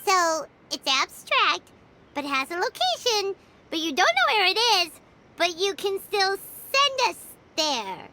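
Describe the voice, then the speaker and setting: A woman's voice: very high-pitched, very animated, takes it slowly, noisy background, exaggerated voice. A woman voicing a tiny, brash female companion who talks about herself in the third person, in a game. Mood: baffled. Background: game music.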